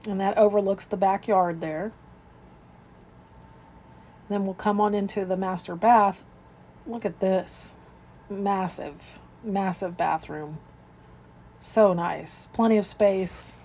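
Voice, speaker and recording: A woman's voice: mid-range voice, fairly even intonation, slow, pauses often, darkish tone, slightly rough. A woman real estate agent narrating an informal, unscripted walkthrough of a home, describing each room as she moves through it. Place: indoors, moving through rooms of a house.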